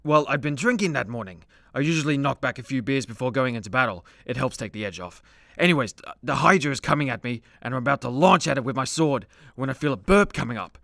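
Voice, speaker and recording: Male actor as hard-drinking demigod hero in a comedy radio play. Creaky, mid-pitched, laid-back, confiding. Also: animated, fast talker, sharp tone, no room sound. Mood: boastful storytelling.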